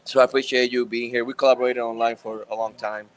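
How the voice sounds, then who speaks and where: A man with a Puerto Rican accent co-hosting a podcast at a live event, playful and quick to joke and laugh. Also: medium pitch, little pitch movement, smooth. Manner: playful, joking, laughs often. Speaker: podcast co-host. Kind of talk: casual chat at a live event.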